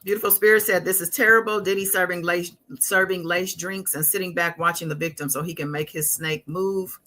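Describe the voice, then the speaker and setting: A woman with a slightly low, notably bright and forward voice hosts a live commentary stream. She talks casually and conversationally, often stopping and restarting as she works out her thoughts while responding to her chat. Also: fairly even intonation. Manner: conversational, halting, thinking aloud.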